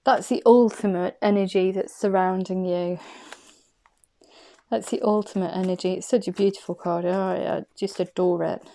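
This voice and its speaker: A woman reads tarot cards in a chatty, effusive way, thinking aloud as she goes. Her voice is mellow and warm, sitting at an ordinary pitch for a woman. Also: steady tone, moderate pace. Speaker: tarot card reader. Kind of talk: intuitive tarot reading.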